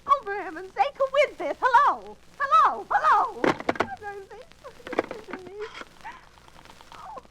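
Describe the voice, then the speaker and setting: An actress playing a nervous, demanding bedridden wife who speaks in an agitated, imperious way and stammers when flustered, in 1940s radio thriller style. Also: female voice, very high voice, lively intonation, normal speed, bright, forceful tone, exaggerated voice. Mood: frantic. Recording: noisy 1940s radio broadcast transfer.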